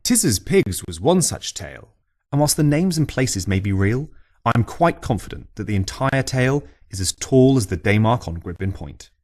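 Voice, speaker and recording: A male narrator reads local history in a wry, storytelling way. His mid-pitched voice moves freely up and down, giving the scripted lines a very animated shape. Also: normal speed. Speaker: audiobook narrator.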